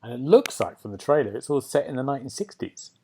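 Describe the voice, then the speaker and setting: A man's voice: mid-range voice, lively intonation, fast talker, dark tone, slight background noise. A man hosting a podcast, chatting casually about games and television in a rambling, enthusiastic way. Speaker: podcast host.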